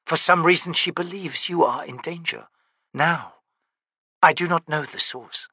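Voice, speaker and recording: A stern, honourable woman warrior, as a man puts her on speaks low and measured. Her voice is bright and close, recorded with no room sound. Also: husky, no echo, exaggerated voice. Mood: earnest.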